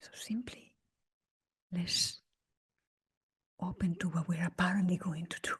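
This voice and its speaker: A woman guides a meditation in a very breathy, husky voice that sits close to a whisper. Her pitch is a little low for a woman. She speaks very slowly and gently, leaving long pauses between short phrases. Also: steady tone. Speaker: meditation teacher leading a guided meditation. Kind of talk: slow guided meditation talk.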